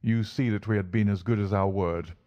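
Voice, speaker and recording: A man voicing a shrewd, commanding English gentleman detective, brisk, courteous and authoritative, in a storybook reading. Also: fairly low pitch, steady tone, no room sound, highs cut off, lightly acted. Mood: assured, courteous.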